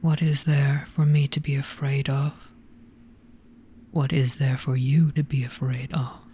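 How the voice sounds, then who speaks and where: A performer voicing an ancient, gentle, weary monster in a hypnotic roleplay, speaking very slowly in a grave, breathy hush. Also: mid-range voice, level tone, slight background noise, close to an everyday voice. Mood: solemn, gentle. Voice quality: breathy, whispery. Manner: very slow, hushed, grave.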